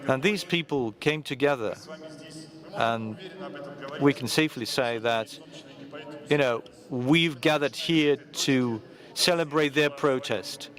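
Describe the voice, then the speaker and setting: A man speaks at a forum in a mid-range voice, keeping his remarks loose and informal. He pauses often and restarts his sentences as he finds his way. He addresses the room as a journalist organizing the event. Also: medium pitch, a crowd in the background. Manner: hesitant, with frequent pauses and restarts. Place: large conference hall.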